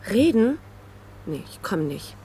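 Vulnerable, stubborn homeless widow. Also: female voice, medium pitch, sing-song, slow pace, dark tone, a little hiss or hum. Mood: wary. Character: vulnerable, stubborn, newly homeless widow, timid yet guarded. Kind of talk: German crime radio drama acting.

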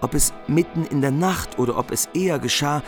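Calm male narrator of a medieval epic. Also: a little high. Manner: calm, measured. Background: music.

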